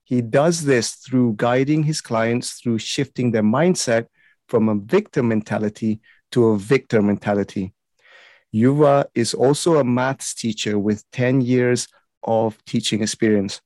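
A man from Malaysia hosting a podcast interview and chatting in a relaxed, friendly way. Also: mid-range voice, unhurried, dry recording. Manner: energetic, announcer-like intro, then relaxed chat. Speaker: podcast host and life coach.

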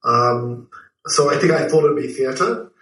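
A man's voice: medium pitch, measured pace, a bit bright. Reflective man, roomy far-off sound. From Malaysia and London. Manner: reflective, storytelling with many fillers. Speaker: guest, TV producer and writer. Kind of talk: relaxed interview answers. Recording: roomy, distant from the mic.

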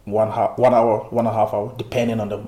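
A man from Ghana talking as a podcast guest about his videography work, in a relaxed, chatty way with frequent fillers. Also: fairly low pitch, fast, echoey room. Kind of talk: casual interview.

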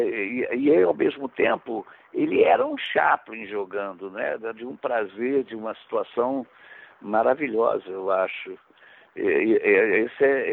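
A man telling stories in casual chat, rambling with frequent restarts and casual swearing, in a gravelly voice. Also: fairly low pitch. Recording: tinny lo-fi radio broadcast.

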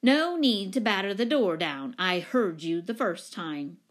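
Woman acting a booming, commanding grande dame. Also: expressive, moderate pace, natural voice. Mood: irritated. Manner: imperious, emphatic, fussy. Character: large, commanding, haughty lady tenant.

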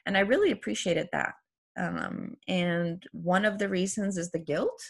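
A woman's voice: medium pitch, expressive, unhurried, slightly rough. A woman in her forties whose first language is Farsi, hosting a casual talk and laughing often as she speaks. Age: 40s. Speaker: author and podcast host. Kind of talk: casual conversational interview.

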